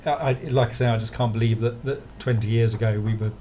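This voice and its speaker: A man with a calm voice guesting on a podcast, chatting and chuckling. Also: mid-range voice, quick pace, a little hiss or hum. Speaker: podcast guest, creative designer. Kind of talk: casual chat.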